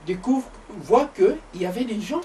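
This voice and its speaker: A man from the DR Congo in a casual conversation who speaks in a measured, reflective way with hesitant pauses. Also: high voice, animated, dark tone, a little hiss or hum. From Democratic Republic of the Congo. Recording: distant mic with faint ambience.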